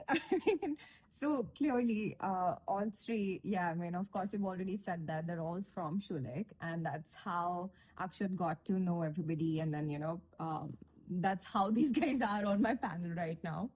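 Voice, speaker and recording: A woman's voice: lowish voice, a little slow, a little mellow, low-quality recording. A woman hosting a podcast panel, speaking in an informal, conversational and reflective way. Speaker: podcast host.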